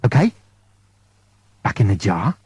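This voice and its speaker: A man's voice: on the low side, big pitch swings, measured pace, a little mellow, slightly rough, no echo, pitched below the performer's own voice, full character voice. A man voicing a brusque, impatient woman crew member with a curt, menacing manner in a science fiction audiobook. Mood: threatening.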